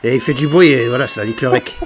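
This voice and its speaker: A man's voice: mid-range voice, fast, crowd noise. A man improvising game roleplay and chatting casually, playfully hamming it up with slang. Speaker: gaming video creator playing online roleplay.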